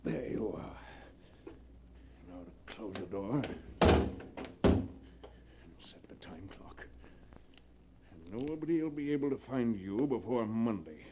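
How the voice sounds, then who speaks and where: An actor playing a ruthless, domineering middle-aged millionaire in 1940s radio melodrama, with a forceful, imperious delivery. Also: male voice, lowish voice, animated, takes it slowly, pauses often, very noisy. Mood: gloating, menacing. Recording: dull, muffled vintage radio recording.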